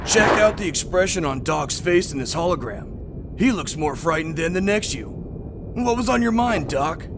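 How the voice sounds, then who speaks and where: An actor playing a bold, teasing starship crewmate in an audio drama, jocular and needling. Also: male voice, moderate pace, natural voice. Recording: steady engine rumble behind the voice.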